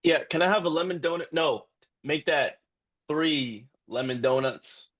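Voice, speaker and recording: An actor playing an exasperated, lovestruck young man in a live dark comedy script read, halting and defensive. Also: a little high. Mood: hesitant. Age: young adult.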